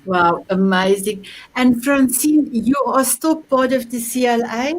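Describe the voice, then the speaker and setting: A woman's voice: fairly high pitch, measured pace. A woman whose first language is Afrikaans, hosting a live-streamed motivational show in an upbeat, affirming way, full of endearments. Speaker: host.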